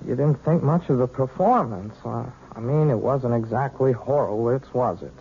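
A young actor in his twenties playing an earnest, lovesick male piano student in a 1970s radio drama, halting and stammering. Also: medium pitch, a little hiss or hum, lightly acted. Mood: wry. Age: 20s. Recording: tinny lo-fi old radio broadcast.

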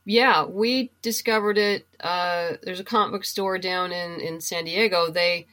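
Low-voiced woman chatting as guest. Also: steady tone, measured pace, a bit bright. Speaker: podcast guest, writer. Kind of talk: casual interview chat.

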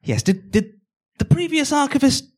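Awkward formal archivist, new on the job, hesitant and halting, live audio drama. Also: male voice, extremely high pitch, expressive, darkish tone. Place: live on stage at a festival.

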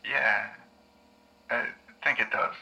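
A man playing a troubled, unsure therapy patient in an audio drama, talking haltingly. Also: medium pitch, steady tone, creaky, sharp tone, highs cut off, exaggerated voice. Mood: hesitant, doubtful. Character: troubled, hesitant male therapy patient with lost memories. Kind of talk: scripted audio drama dialogue.

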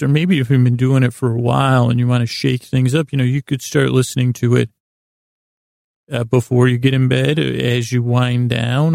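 A man's voice: darkish tone, no echo, clean studio sound. A man hosting a bedtime podcast in a rambling, drowsy drone, stammering and circling through repeated false starts. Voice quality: gravelly, creaky. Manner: drowsy, droning, low-key. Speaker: podcast host.

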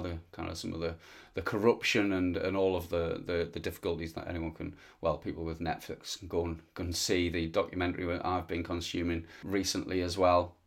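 A man's voice: low-pitched, creaky. A man hosting a football interview, asking long questions with frequent hesitations and repeated words. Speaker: podcast host. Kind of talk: interview host. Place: lives in Wales.

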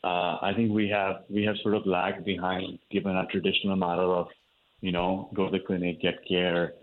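A man's voice: sits low, flat delivery, moderate pace, narrow, tinny audio, a little hiss or hum. A man from India, a cardiologist, explaining his work in an interview, with many fillers and restarts. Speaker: cardiologist guest. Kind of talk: interview answers.

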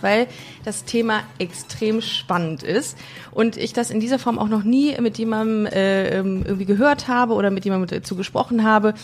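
A woman hosting a podcast in casual interview chat, joking and talking with many fillers and restarts. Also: on the high side. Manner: chatty and jokey, with frequent fillers and restarts. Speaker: podcast host.

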